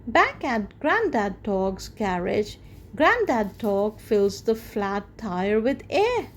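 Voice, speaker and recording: Slow, animated woman reading to children. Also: mid-range voice. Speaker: storyteller for children. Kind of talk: children's storybook read-aloud.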